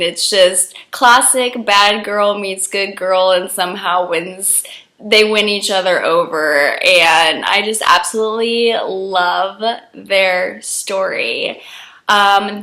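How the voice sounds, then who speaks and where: A young woman with a cold, her voice froggy and hoarse, chatting casually to camera as a book vlogger and gushing about her favorites. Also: pushed and bright. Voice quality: froggy, deeper than usual. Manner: gushing, enthusiastic.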